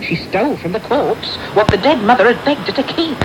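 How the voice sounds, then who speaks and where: An actress playing a shrewd, mercenary woman with a British accent, sly and deliberate, in a 1990s radio drama. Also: a little high, lively intonation, natural voice. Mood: grave, secretive. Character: shrewd, mercenary woman, coolly bargaining, self-possessed. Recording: noisy medium-wave radio recording.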